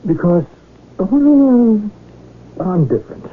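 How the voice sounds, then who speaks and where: A man's voice: sits high, big pitch swings, slow, heavily acted. A man playing a meek, insecure rich man in a 1970s radio drama, hesitant and earnest, with a slight stammer in his delivery. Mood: timid, forlorn. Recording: tinny, noisy old radio broadcast recording.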